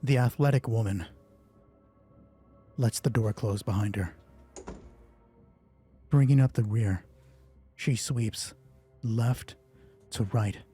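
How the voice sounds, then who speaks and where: A man hosting a solo roleplay game, narrating it dramatically and deliberately in short phrases. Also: on the low side, warm tone, soft background music.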